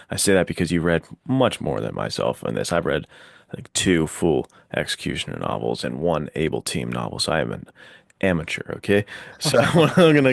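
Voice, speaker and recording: A man's voice: low-pitched, vocal fry, no echo, studio quality. A man co-hosting a casual podcast discussion, explaining things with enthusiasm. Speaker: podcast co-host.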